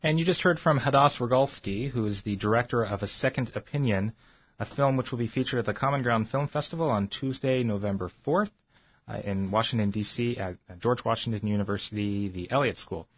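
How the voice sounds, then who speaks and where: A man's voice: medium pitch, a little fast, husky, dry recording, highs cut off. Dry-sounding male radio host. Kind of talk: radio announcing.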